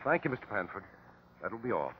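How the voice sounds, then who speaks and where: Male as fussy official coroner, husky lively voice, vintage radio courtroom drama. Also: fairly low pitch, fairly quick. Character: officious, deferential male coroner running inquest. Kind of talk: old-time radio drama acting. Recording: muffled old radio drama recording.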